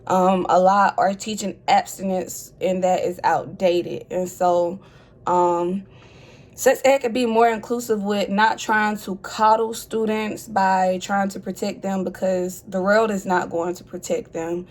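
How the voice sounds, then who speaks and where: A woman's voice: medium pitch, fairly even intonation, unhurried. A woman in her twenties speaking as an advocate, composed and articulate, telling her story in an interview. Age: 20s. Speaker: HIV advocate. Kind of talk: interview testimony.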